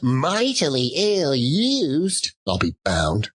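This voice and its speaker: A man's voice: mid-range voice, wildly swooping pitch, extremely slow, fairly bright tone, breathy edge, voice pushed up, heavily acted. A man voicing a miserly old employer in a storybook, grumbling in a curt, grudging manner. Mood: grudging, irritable.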